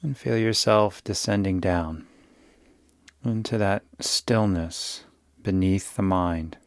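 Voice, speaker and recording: A man leading a guided yoga nidra meditation, giving gentle, soothing instructions in an unhurried way. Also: sits low, no echo, studio quality.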